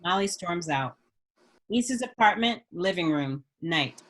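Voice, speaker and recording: A woman's voice: fairly low pitch, expressive, measured pace, a bit bright. A woman reading stage directions as scripted narration at a screenplay table read.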